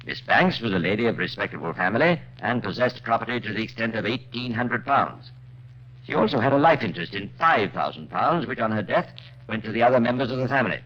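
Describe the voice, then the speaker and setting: A man's voice: mid-range voice, expressive, fast, fairly bright tone, close to an everyday voice. A man narrating as a wry, urbane true-crime storyteller in 1950s radio drama style, dry and conversational. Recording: muffled, noisy old radio recording.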